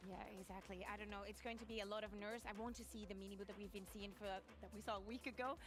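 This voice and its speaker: A woman's voice: medium pitch, steady tone, fast talker, soft background music. A woman previewing an esports match on a broadcast, emphatic and piling on rhetorical questions. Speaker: esports analyst.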